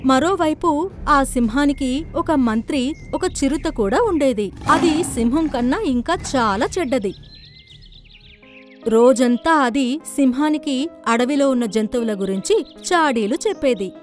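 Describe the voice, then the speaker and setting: High voiced lady narrating a kids story, bit of music behind. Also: expressive, measured pace. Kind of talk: scripted children's story narration.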